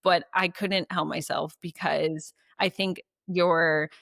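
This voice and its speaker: A woman's voice: fairly low pitch, fairly even intonation, slow pace, gravelly, fairly bright tone, dry recording, studio quality. A woman co-hosting a podcast, telling stories with animation, laughing often and speeding up as she talks. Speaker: podcast co-host. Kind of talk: casual interview chat.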